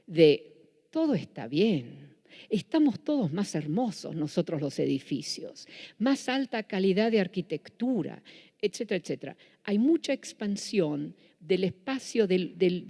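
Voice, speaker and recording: Mellow-voiced woman with Buenos Aires accent. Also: on the low side. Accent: Buenos Aires Spanish. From Netherlands. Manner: self-correcting, with frequent restarts. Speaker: lecturer. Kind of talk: public lecture. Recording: reverberant hall.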